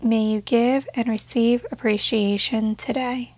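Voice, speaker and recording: A woman leading a guided meditation in a gentle, measured way, leaving pauses between short phrases. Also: fairly high pitch, steady tone, no echo.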